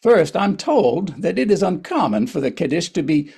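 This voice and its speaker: A man's voice: a little high, big pitch swings, normal speed, creaky, a little mellow, breathy edge. A man narrating a historical play in a scripted but conversational way.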